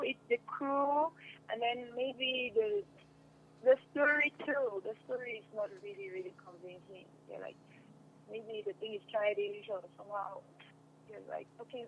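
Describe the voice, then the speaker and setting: A woman's voice: fairly high pitch, dull audio. A young woman answering casually in an interview, repeating phrases for emphasis. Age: young adult. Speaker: guest, stage and radio drama actress. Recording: noticeable background noise.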